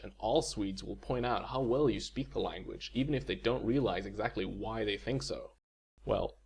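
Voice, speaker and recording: A man teaching in a scripted video lecture, explaining clearly and saying example words for listeners to repeat. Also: lowish voice. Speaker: language teacher.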